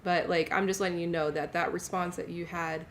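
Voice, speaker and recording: A woman's voice: fairly low pitch, steady tone, fairly quick, crowd noise. A woman host in a casual group chat, making her point with emphatic repetition. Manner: emphatic, repeats points for weight.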